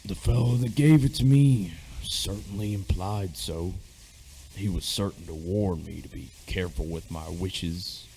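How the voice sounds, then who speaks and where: A man's voice: low voice, unhurried, warm tone, slight background noise, poor audio. A man playing a reluctant middle-aged sergeant major in an amateur radio play, speaking hesitantly in old-fashioned phrases. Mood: guarded, ominous.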